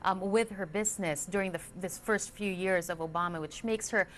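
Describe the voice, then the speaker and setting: A woman's voice: lowish voice, brisk, fairly bright tone. Female TV interviewer, hesitant and filler-heavy, faint background noise. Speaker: news anchor.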